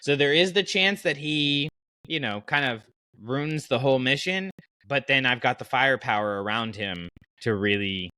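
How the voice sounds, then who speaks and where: Man, podcast host, bright forceful voice, dry close sound, colloquial, animated fan chat. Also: medium pitch, normal speed, no echo. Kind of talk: casual fan podcast discussion.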